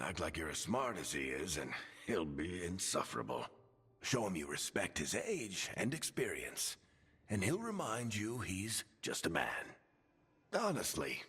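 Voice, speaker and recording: An older dwarf mentor in a fantasy game, with a low and heavily husky voice. He is wry and folksy, heard in a long reverb over music. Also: big pitch swings, a little slow, a bit bright, full character voice. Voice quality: husky, gravelly. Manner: sardonic, folksy, reassuring. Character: wry older dwarf man, battle-worn mentor and storyteller. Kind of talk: video game dialogue acting. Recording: reverberant hall, music underneath.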